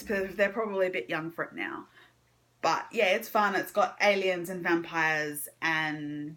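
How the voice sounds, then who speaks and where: A woman's voice: fairly low pitch, a bit bright. A woman giving a casual book vlog chat, informal and hesitant as she thinks aloud. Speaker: book vlogger. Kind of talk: casual monthly reading wrap-up chat.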